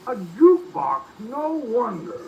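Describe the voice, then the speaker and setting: A man's voice: extremely high pitch, very animated, measured pace, gravelly, darkish tone, heavily acted. An actor playing a scornful, pompous middle-aged store owner and father in a 1940s radio comedy. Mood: irate. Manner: pompous, indignant blustering. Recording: echoey, distant, noisy old radio recording.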